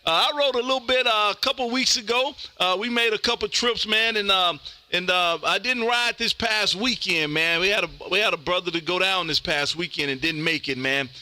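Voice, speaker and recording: A man's voice: sits high, fairly quick, bright, forceful tone, dry recording. An American man hosting an amateur radio show, chatting on air in an exuberant, folksy, emphatic way. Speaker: ham radio show host.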